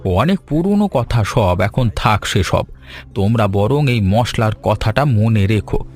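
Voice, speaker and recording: Guy doing a slow old river man for a cartoon, calm n secretive, lowish voice, music behind. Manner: slow, unhurried, cryptic. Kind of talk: animated story dialogue.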